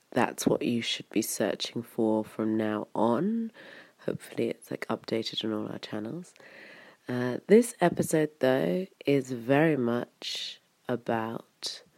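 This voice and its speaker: A woman's voice: rumbling low, mellow, dry recording. A woman, hosting a podcast in a casual, rambling, chatty way. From England, Wiltshire. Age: 30s. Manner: rambling, chatty, full of "kind of" and "like". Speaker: podcast host.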